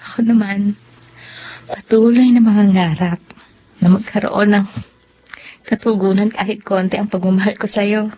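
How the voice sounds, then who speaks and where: Isang dalagang mapagmahal sa kulob na rekording, ginagampanan ng aktres. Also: female voice, mid-range voice, steady tone, normal speed, long pauses, warm tone, husky, close to an everyday voice. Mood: earnest, hopeful. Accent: Filipino. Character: devoted, hopeful young expectant mother in unrequited love. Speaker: radio drama actor. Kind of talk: Tagalog radio drama acting. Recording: dull, lo-fi radio sound.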